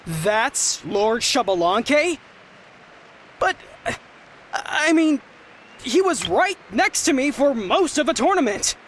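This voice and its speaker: A woman voicing a hesitant, slow-talking young fighter. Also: high voice, very animated, slight background noise, exaggerated voice. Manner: hesitant, disbelieving. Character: young tournament fighter, stunned and doubtful. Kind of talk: video game cutscene dialogue.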